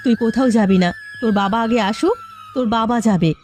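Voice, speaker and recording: A woman's voice: on the high side, moderate pace, darkish tone, faint music behind, lightly acted. A woman playing an anxious, protective mother of a grown daughter in a cartoon drama, speaking in a firm, urgent, pleading way. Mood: worried, firm.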